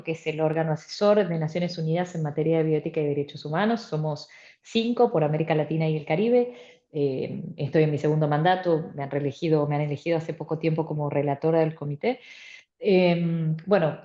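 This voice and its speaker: Mellow, low-voiced woman. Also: highs cut off. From Argentina. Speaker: interviewed bioethicist and law professor. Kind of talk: explanatory interview talk.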